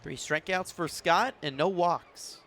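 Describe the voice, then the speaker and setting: A man's voice: a little high, slow, sharp tone. A man doing live radio play-by-play commentary of a baseball game as the announcer. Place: broadcast position at an outdoor ballpark in the evening.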